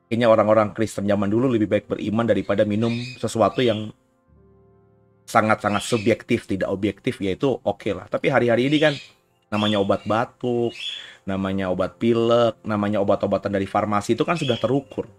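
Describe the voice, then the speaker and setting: A man's voice: lowish voice, fairly even intonation, a little fast, faint music behind. A man preaching a conversational sermon in an informal way, often tagging phrases with short checks, while getting over a cough. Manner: informal, frequent "ya" tags and asides. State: recovering from a cough. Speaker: pastor giving a recorded sermon.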